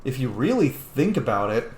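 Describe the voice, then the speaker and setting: A man's voice: mid-range voice, very animated, normal speed. A man giving casual gaming commentary in an opinionated, rambling way with plenty of swearing. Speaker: video game streamer. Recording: roomy echo with faint noise.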